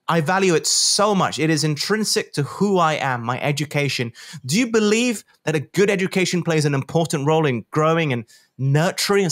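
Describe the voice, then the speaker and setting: Chatty man interviewing over video call. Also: on the high side. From Malaysia. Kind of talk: friendly interview questions. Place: home studio, video call. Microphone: desk microphone on a boom.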